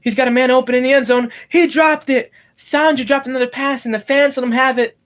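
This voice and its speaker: A man playing an excited football play-by-play commentator from a make-believe game, urgent and dramatic. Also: very high-pitched, brisk, voice pushed up.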